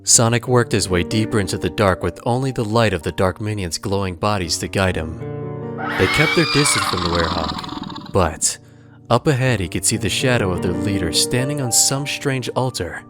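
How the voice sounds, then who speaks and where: A man narrates a scripted audio drama in a fairly low voice. Music plays clearly behind him. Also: expressive, normal speed. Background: clear background music.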